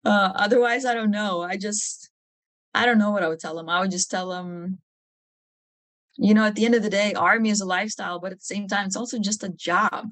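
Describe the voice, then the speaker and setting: A woman's voice: mid-range voice, steady tone, a little fast, a bit bright, no room sound. Woman from lithuania, podcast guest, candid n casual, bit of humor, dry sound no room. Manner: frank, informal, humorous. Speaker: podcast guest, army officer. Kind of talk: candid conversational interview.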